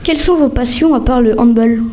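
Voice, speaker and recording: A woman interviews a guest on radio with prepared questions. Her voice sits fairly high, yet its tone is dark and very mellow. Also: brisk.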